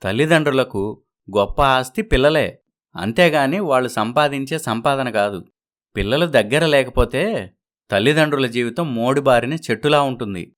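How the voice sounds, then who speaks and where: A man playing a regretful elderly village father in an animated moral story, gravely giving advice. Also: mid-range voice, moderate pace, lightly acted. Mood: reflective.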